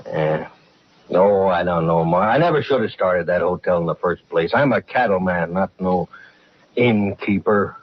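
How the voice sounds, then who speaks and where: A gruff cattleman from an old Western radio play, low in pitch. He talks in a folksy, halting way, plain and humbled, on a muffled vintage broadcast recording. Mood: sheepish, apologetic. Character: gruff, humbled cattleman turned hotel owner. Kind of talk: 1950s Western radio drama acting.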